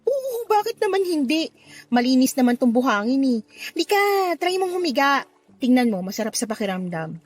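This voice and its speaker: Radio drama role: friendly, playful young provincial woman, highish voice, music bed. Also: big pitch swings, moderate pace. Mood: playful, warm. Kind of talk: Tagalog radio drama acting. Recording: music under the voice.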